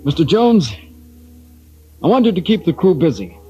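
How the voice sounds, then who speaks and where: A man playing a stern veteran submarine captain in old-time radio drama, giving authoritative orders in a rough, husky voice. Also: on the high side, sing-song, normal speed, over music. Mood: firm, authoritative. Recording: dull lo-fi old radio recording.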